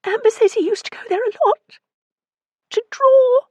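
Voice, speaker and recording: A woman's voice: extremely high pitch, steady tone, fast talker, no room sound, lo-fi sound, pitched above the performer's own voice. A woman voicing a frightened young woman who is breathless and near hysterical with tears. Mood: frightened, tearful.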